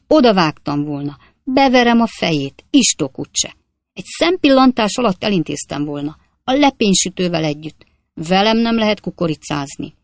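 A woman's voice: medium pitch, lively intonation, no room sound, clean studio sound, pitched above the performer's own voice, lightly acted. A woman voicing a boastful, talkative male swindler who speaks in short, clipped fragments. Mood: boasting. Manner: clipped, breathless fragment sentences. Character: boastful chatty male swindler, young gent.

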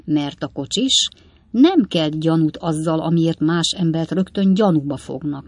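A woman's voice: low voice, no echo. Woman playing a grumbling, emphatic old coachman. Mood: argumentative. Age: older. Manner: emphatic, indignant, homespun reasoning. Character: grumbling old coachman father, fond, pompous reasoner.

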